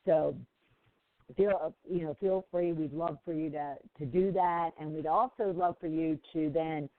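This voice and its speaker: A woman's voice: low voice, fairly even intonation, measured pace, no room sound. Sick woman hosting on a phone line. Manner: rambling, with frequent ums and restarts. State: sick, slightly congested. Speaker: talk radio host. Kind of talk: casual call-in radio talk. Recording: tinny dry phone line.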